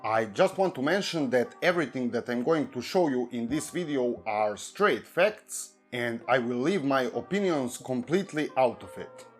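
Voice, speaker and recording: A man with a Serbian accent, a native Serbian speaker, delivering scripted commentary in a measured, even way as he reads from a prepared text. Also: medium pitch, faint music behind. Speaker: YouTube commentary host. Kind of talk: scripted commentary read aloud.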